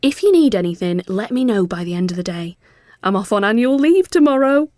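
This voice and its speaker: A woman playing a cheerful, brisk female support worker in a dramatic story, with a bright, emphatic delivery. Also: medium pitch, very animated, no room sound, clean studio sound, close to an everyday voice. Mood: brisk reassurance.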